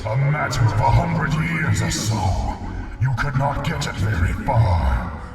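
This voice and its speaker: A man voicing a huge, ancient, boastful male dragon who gloats, mocks and booms out threats in an audio drama reading. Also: sing-song. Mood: mocking. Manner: slow, gloating, mocking, with booming threats. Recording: cavernous echo, distant, loud music.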